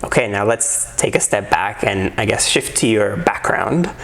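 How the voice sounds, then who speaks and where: A man's voice: fairly low pitch, animated, moderate pace, gravelly, a bit bright. A man hosting an interview, encouraging his guest and paraphrasing his points back to him. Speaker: interview host. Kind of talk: casual interview conversation.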